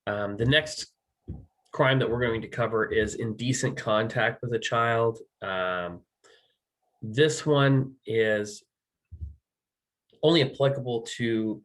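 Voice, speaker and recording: A man with an American accent presenting a legal training, slightly hoarse and losing his voice, talking haltingly with many ums and self-corrections. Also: mid-range voice. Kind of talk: slide-based legal training.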